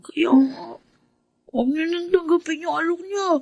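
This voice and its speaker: A woman's voice: extremely high pitch, measured pace, creaky, darkish tone, no room sound, full character voice. A woman acting an earnest, worried teenage son from a poor family in a radio drama. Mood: earnest pleading.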